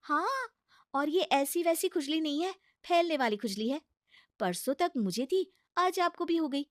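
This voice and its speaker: Woman voicing a high-pitched nagging young daughter-in-law. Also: lively intonation, moderate pace, no echo, studio quality. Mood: sly teasing. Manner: insistent, pleading, scolding. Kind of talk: acted comic family story dialogue.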